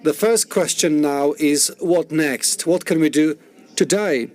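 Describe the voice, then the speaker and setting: Man interpreting simultaneously, slightly high voice. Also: unhurried, a little mellow. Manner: rephrases and restarts while rendering speech. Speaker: conference interpreter.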